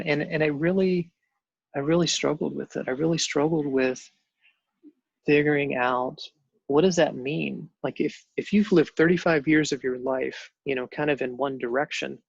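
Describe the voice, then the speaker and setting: A man in his fifties telling his own story as a guest, halting with many pauses and fillers. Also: fairly high pitch, creaky, no room sound, dull audio. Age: 50s. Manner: halting, many ums and restarts. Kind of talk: personal storytelling on a panel show.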